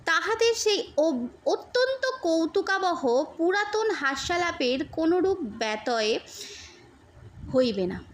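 A woman reads a literary story aloud as narrator. Her voice is quite high, and she takes her time with measured, expressive phrasing. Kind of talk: scripted literary audiobook narration.

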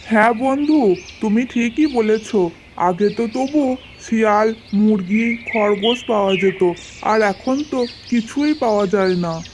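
A woman's voice: a little high, takes it slowly, a little mellow. A woman voicing a foolish, friendly old tiger, hungry, in a children's cartoon story. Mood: weary, complaining. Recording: clearly noisy, birdsong behind. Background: birdsong and nature sounds.

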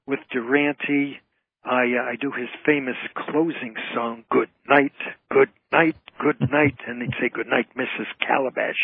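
Male phone-in guest, husky voice, narrow dry sound, entertainer telling things emphatically, point by point. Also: medium pitch, steady tone, a little slow, no room sound. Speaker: interview guest, entertainer and author. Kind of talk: conversational radio interview. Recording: narrow, tinny phone line.

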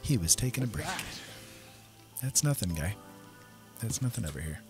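A man with a low voice talks through a video game in a casual, easygoing way, thinking out loud. Game music plays clearly behind him. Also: very animated, normal speed. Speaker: gaming video host. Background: game soundtrack music.

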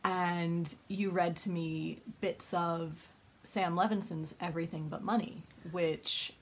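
A woman's voice: fairly low pitch, steady tone, slow pace, husky. A young adult woman in casual conversation about books, earnestly explaining and reminiscing. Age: young adult, 20s to 30s. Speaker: host, book vlogger. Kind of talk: casual conversational book chat. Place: small book-lined room indoors.

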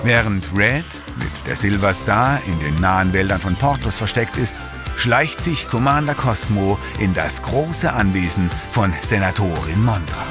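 A man's voice: on the low side, expressive, unhurried. A man narrating a scripted space adventure as storyteller of an audio drama. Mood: suspenseful. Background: music.

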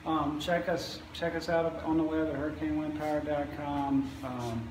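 A man in his forties giving an informal, halting, matter-of-fact walkthrough of equipment he installs. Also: a little high, level tone. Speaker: wind power equipment installer. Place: indoors in a utility room or garage, on camera. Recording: echoey room, faint background noise.

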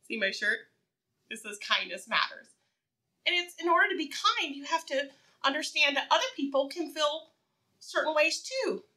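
A woman with a high, bright voice teaches very young children through a read-aloud. She asks simple questions in an encouraging sing-song, leaving room for answers. Her pitch swoops widely as she goes. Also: animated, normal speed. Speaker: preschool teacher reading aloud.

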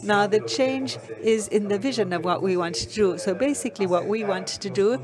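A woman's voice: mid-range voice, moderate pace, a crowd in the background. Woman interpreting live over a crowded hall. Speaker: simultaneous interpreter. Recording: conference hall with audience noise.

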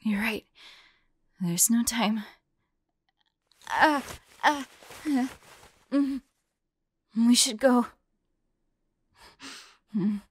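A woman playing a devoted young heroine in an audio roleplay, halting and breathy, often dropping into whispers. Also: a little high, exaggerated voice. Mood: exhausted, urgent. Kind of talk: intimate acted audio roleplay.

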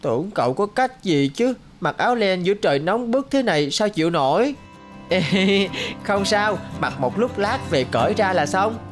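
A woman's voice: medium pitch, expressive, normal speed, faint music behind, natural voice. A woman voicing a good-natured, loyal schoolboy in a cartoon, with a casual youthful manner. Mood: friendly chatter. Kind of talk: cartoon voice acting.

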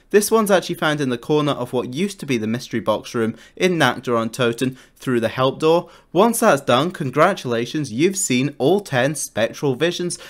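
A man narrating a scripted video game guide in an informal, enthusiastic way, like a YouTuber. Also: medium pitch. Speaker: gaming YouTuber.

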